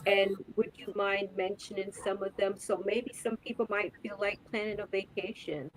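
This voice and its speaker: A woman's voice: mid-range voice, steady tone, rough recording. Woman podcast host, super agreeable, encouraging, crowd chatter behind her. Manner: agreeable and encouraging, quick to affirm the guest. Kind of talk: casual conversational interview.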